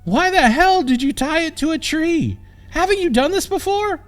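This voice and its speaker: A man voicing an exasperated, sharp-tongued young princess in a comic fantasy audiobook, incredulous and scolding. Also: expressive, moderate pace, soft background music. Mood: shrill, alarmed. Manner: incredulous, scolding, shrill when alarmed.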